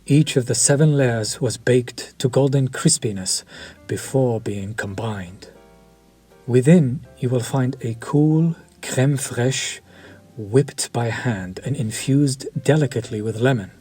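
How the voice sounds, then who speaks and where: A man voicing a suave, courteous vampire chef who speaks formally and softly, sometimes near a whisper. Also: medium pitch, slightly rough, soft background music. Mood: savoring. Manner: slow, formal and soft-spoken, dropping to near-whispers. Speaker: voice actor. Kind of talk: audio roleplay to the listener.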